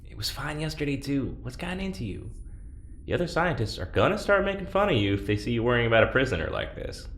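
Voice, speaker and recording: An actor playing a wary, sardonic prisoner and test subject in an audio drama, blunt and sarcastic. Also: male voice, mid-range voice, sing-song, fast, fairly bright tone. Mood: exasperated. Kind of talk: naturalistic audio drama acting. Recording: roomy, echoing, faint background noise.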